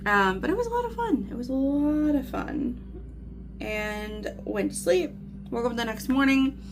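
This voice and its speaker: A woman's voice: high-pitched, lively intonation, a little slow, over music. A woman in her thirties chatting casually and telling a rambling, meandering story with lots of filler phrases, like a vlogger catching up with viewers. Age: 30s. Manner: chatty, meandering, frequent "like" and "you know". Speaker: craft vlogger.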